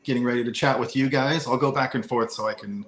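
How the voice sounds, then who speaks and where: A man joining a livestream as a guest, talking in a relaxed, apologetic way. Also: medium pitch, quick pace. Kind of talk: casual interview chat. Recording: echoey room, faint background noise.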